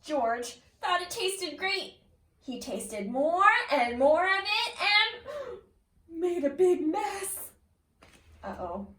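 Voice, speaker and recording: A woman teacher reads a children's story slowly, her high voice swinging expressively as she pauses to put questions to little listeners. The sound is roomy and echoing, picked up from a distance. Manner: expressive, exaggerated, pausing to ask young listeners questions. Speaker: kindergarten teacher reading aloud. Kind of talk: animated children's picture-book read-aloud with questions to listeners. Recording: roomy echo, distant from the mic.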